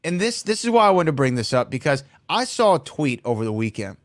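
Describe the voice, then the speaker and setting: A man's voice: on the high side, very animated, brisk, no room sound, clean studio sound. An American man co-hosting a podcast in a casual, conversational style, setting up topics and asking questions. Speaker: podcast co-host.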